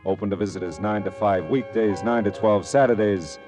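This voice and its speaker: A man's voice: lowish voice, a little fast, music in the background, natural voice. An American man playing a hard-boiled private detective in 1940s radio style, with a dry, sardonic, clipped delivery. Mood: brooding, wry. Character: hard-boiled, wry male private detective narrating his case.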